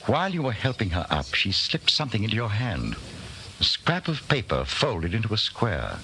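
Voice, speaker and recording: Grave storyteller, low bright voice, 1940s radio drama narration, faintly noisy vintage broadcast. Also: male voice, huge pitch swings, moderate pace, exaggerated voice. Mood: measured, suspenseful.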